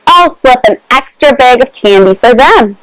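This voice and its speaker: A woman voicing a kindly, devout old male candy maker in a storybook, gentle and thoughtful. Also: high-pitched, animated, normal speed, no room sound, low-quality recording. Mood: warm, wishful.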